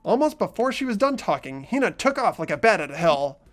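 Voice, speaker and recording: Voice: mid-range voice, very animated, a little fast, lightly acted. A performer voicing a mild, earnest teenage schoolboy who narrates and chats in a fan-voiced visual novel game. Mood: reflective.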